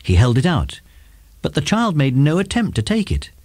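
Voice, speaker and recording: Guy reading a kids story, really animated, bit husky, dry sound. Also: on the high side, moderate pace, no echo. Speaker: narrator. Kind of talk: scripted children's story narration.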